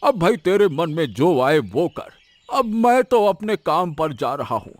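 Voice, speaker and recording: A man's voice: on the high side, very animated, unhurried. A man acting an old village uncle in an audio story, weary and admonishing, with an exclamatory way of giving advice. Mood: dismissive.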